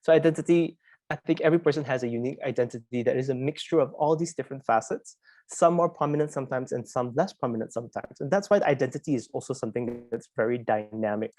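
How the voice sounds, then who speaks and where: A man's voice: mid-range voice, fast talker, a little mellow, no echo. Malaysian man presenting, close dry sound. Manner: conversational, prompts listeners with repeated questions. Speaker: investigative journalist presenting as a webinar speaker. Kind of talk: informal interactive webinar presentation.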